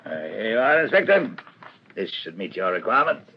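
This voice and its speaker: A man acting in a radio drama as a genial, gentlemanly older police colonel with a hearty, formal courtesy. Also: lowish voice, big pitch swings, fairly quick, a little hiss or hum. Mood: genial, obliging. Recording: muffled, lo-fi radio recording.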